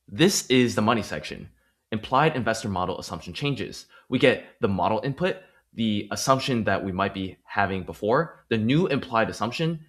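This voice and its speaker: A man's voice: lowish voice, fairly bright tone. A man hosting an explanatory tutorial, talking the viewer through each step in an emphatic, promotional way. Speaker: host of a finance tutorial. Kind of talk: explanatory screen tutorial with sales-pitch phrasing.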